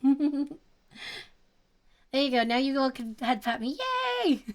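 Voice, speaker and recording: A woman's voice: high-pitched, takes it slowly, a little mellow. A young woman streamer chatting casually with her viewers and giggling often. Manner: giggly, chatty, reads chat aloud.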